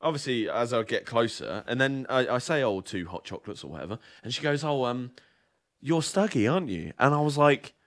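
A young man talks in a relaxed, rambling way, full of "like" and "um" and restarting his sentences. His voice sits at an ordinary middle pitch for a man. He tells stories as a podcast co-host in a casual back-and-forth chat. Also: fairly quick. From southern England. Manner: rambling storyteller, many fillers and restarts.

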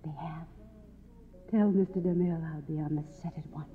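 A woman's voice: deep voice, steady tone, brisk. An actress playing a deluded, grand, middle-aged former film queen in a 1950s radio drama, theatrical and dreamy. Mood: regal, distracted. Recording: tinny vintage radio recording, music underneath.

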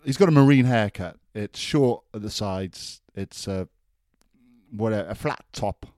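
A man's voice: lowish voice, unhurried, darkish tone, no echo, studio quality. A man with a Welsh accent, co-hosting a podcast in casual, joking banter. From Wales. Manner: joking banter, laughs often. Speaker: podcast co-host. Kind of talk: casual chat.

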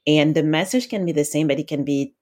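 A woman's voice: deep voice, a little fast, darkish tone. A woman from Senegal speaking as a podcast guest, giving conversational, explanatory answers. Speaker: podcast guest, video marketing consultant.

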